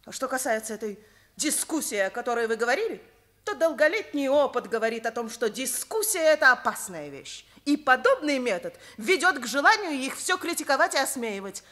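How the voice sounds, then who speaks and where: An actress playing a rigid, principled older schoolteacher, emphatic and lecturing, in Soviet radio theatre. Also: female voice, sits high, very animated, a little fast, fairly bright tone. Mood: stern, reproachful.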